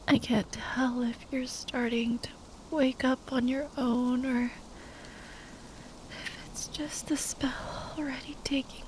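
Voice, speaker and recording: A woman playing a tender, grieving spellcaster lover in an ASMR roleplay, speaking in a breathy, halting whisper. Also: sits high, low-quality recording. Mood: weak, drowsy, confused. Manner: halting, tender, sighing delivery.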